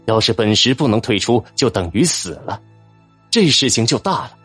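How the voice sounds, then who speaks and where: A man's voice: mid-range voice, expressive, normal speed, a bit bright, slightly rough. A man narrating a fantasy novel in a dramatic, scripted audiobook style. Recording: background music under narration.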